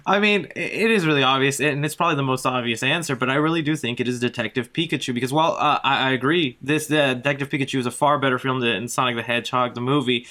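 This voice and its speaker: Guy on a movie podcast as a guest, bright crisp-edged voice, chatting casually. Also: medium pitch, fast talker, few pauses. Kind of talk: casual film discussion.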